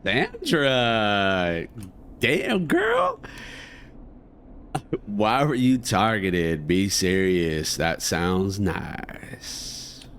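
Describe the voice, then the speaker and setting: A man's voice: on the low side, huge pitch swings, extremely slow, a bit bright, a little hiss or hum. A man giving casual playthrough commentary on a game, slangy and joking, often muttering to himself. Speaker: gamer reacting to a game.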